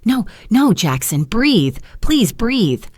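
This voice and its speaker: A desperate woman in a space story, voiced by a male narrator, pitched far above the storyteller. Her urgent, tearful pleading swings widely in pitch, close and dry. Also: big pitch swings, slow, dry recording, exaggerated voice. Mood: frantic pleading.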